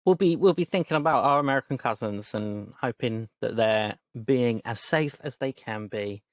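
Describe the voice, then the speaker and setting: A man hosting a tabletop game, rambling a little with frequent restarts and easy laughter. His middle-pitched voice is close and dry, as if recorded right at the mic. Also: moderate pace, no echo. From Britain. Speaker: game master and host. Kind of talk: narrating a tabletop game and chatting.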